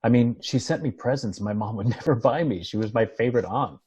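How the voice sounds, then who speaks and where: A man's voice: mid-range voice, fairly quick, darkish tone, breathy edge. A man reading the part of a melancholy, hesitant married man in an understated TV drama table read. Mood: subdued, wistful.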